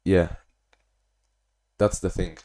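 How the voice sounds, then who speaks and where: Low-voiced young man, flat rambling diary. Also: extremely slow. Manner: monotone, rambling with restarts. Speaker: vlogger. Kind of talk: casual video diary.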